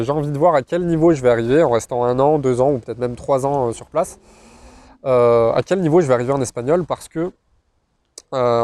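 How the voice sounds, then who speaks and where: A young man chats to his audience in a relaxed, mellow voice. He talks in short bursts and leaves frequent pauses. Now and then he breaks into a brief laugh. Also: mid-range voice. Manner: hesitant, many fillers and restarts, short laughs. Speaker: self-employed online entrepreneur. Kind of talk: casual personal talk to camera.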